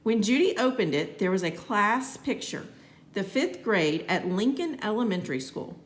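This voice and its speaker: A woman reading a children's storybook aloud in a deliberate, expressive storytelling manner. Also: medium pitch. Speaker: teacher reading aloud to students. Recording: roomy, echoey home-style recording.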